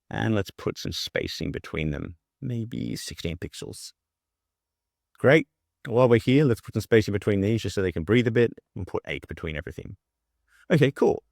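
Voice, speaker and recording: Low-voiced man giving a casual software tutorial. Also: expressive, normal speed, vocal fry, no room sound, clean studio sound. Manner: informal, thinking aloud while demonstrating. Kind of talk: casual screen-share walkthrough.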